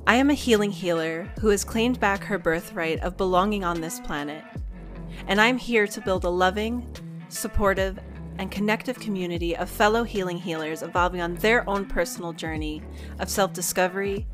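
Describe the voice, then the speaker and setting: A woman's voice: mid-range voice, normal speed, over music. Effusive woman podcast host. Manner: gushing, effusive, swears casually. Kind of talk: scripted intro then casual chat.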